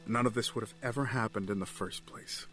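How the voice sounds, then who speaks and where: An actor playing a bereaved, self-justifying villain in an audio drama, solemn and deliberate. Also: male voice, on the low side, music in the background, close to an everyday voice. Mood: brooding.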